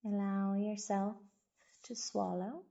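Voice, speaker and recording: Slow, gravelly-edged woman guiding meditation. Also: mid-range voice, fairly even intonation, muffled recording. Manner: soothing, unhurried, gentle instruction with long pauses. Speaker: yoga and meditation guide. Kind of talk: guided body scan meditation.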